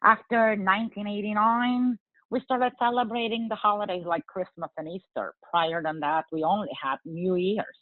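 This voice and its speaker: A woman in her forties from Bulgaria, speaking English with a Bulgarian accent, answering interview questions in an earnest, measured way with frequent fillers. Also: medium pitch, steady tone, dry recording. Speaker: guest. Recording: tinny phone-like line.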